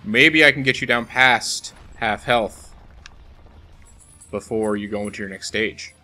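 A man's voice: lowish voice, a little slow, bright, forceful tone. A man giving casual, wry and self-deprecating gameplay commentary as he talks to the game he is playing. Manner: wry, self-deprecating, talking to the game's boss. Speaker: let's play host. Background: video game music.

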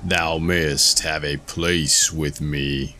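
A man voicing a huge, clownish and stupid male giant, speaking in a slow, ponderous growl. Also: rumbling low, fairly even intonation, bright, forceful tone, soft background music, exaggerated voice. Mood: gruff.

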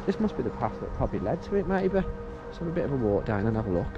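A man's voice: medium pitch, sing-song, rapid-fire, muffled recording. A man, vlogging as he explores an abandoned site outdoors, thinking aloud and often calling out to his viewers. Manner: chatty, thinking aloud, often says "guys". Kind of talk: casual walking commentary. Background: background music.

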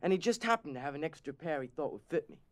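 Casting: male actor as plain-spoken, sincere adolescent boy, lightly raised pitch, audio drama. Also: animated, fast talker, lightly acted. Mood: sincere, grateful. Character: sincere, grateful teenage boy reminiscing.

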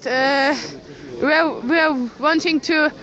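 A young Ukrainian woman with a Ukrainian accent and a high voice reports live into her phone from a cold street. Her English is halting, full of restarts. The sound is dull and lo-fi, with a loud crowd all around her. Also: fairly even intonation. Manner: halting non-native English, many restarts. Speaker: citizen journalist. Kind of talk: live street stream commentary. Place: outdoors on a cold city street at barricades. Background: crowd of protesters.

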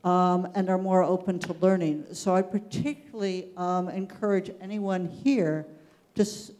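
A woman speaking in a measured, earnest way, making an audience comment at a panel discussion. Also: lowish voice, fairly even intonation, dark tone. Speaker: audience member, education committee chair. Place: large theatre hall.

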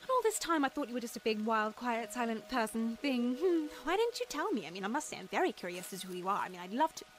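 A woman's voice: fairly high pitch, big pitch swings, fast, few pauses, faint music behind. A young woman with a British accent voicing a flustered, prim Victorian lady in a cartoon fan dub, breathless and stammering. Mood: nervous, chattering. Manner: breathless, stammering, chattering, proper. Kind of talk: animated film fan dub acting.

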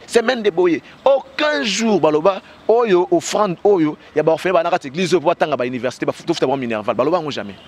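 A man's voice: high voice, husky. A man in his thirties with a Congolese French accent and a cold, talking passionately and emphatically with many fillers in an outdoor street interview into a handheld mic. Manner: passionate, emphatic, heavy "bah" fillers, gestures while talking. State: has a cold. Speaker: interview guest. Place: outdoors on a street by parked cars. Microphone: handheld mic with fur windscreen held by interviewer.

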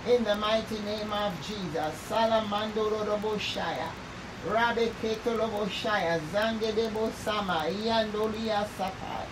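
A woman leading fervent prayer, raspy-voiced, with intense repeated declarations and speaking in tongues. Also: mid-range voice, steady tone, measured pace. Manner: intense, repetitive prayer, breaks off to check audio. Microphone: phone or earpiece mic. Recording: distant mic with clear background noise.

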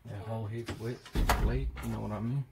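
A man's voice: on the low side, steady tone, a little fast, very noisy. Rambling young man cutting hair. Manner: rambling, joking, swears offhand. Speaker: barber. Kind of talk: casual step-by-step tutorial while cutting hair. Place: inside a parked van.

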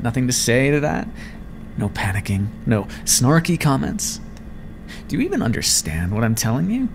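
A man acts out a clinical vampire scientist in an intimate ASMR roleplay. His voice is gravelly and swings freely in pitch as he muses aloud and teases his captive with smug condescension. A clear bed of background noise sits behind him. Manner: condescending, teasing, musing aloud.

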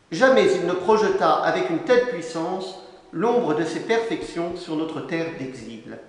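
A young man preaching a formal sermon from a lectern, solemn and measured, phrase by phrase, recorded in a large church. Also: high voice. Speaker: canon preacher. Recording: long echo of a large church.

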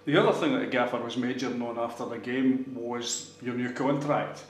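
A man's voice: medium pitch, moderate pace. Chatty male interviewer, roomy sound. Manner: chatty, conversational questions. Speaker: club TV interviewer. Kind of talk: post-match interview questions. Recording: roomy with faint hum.

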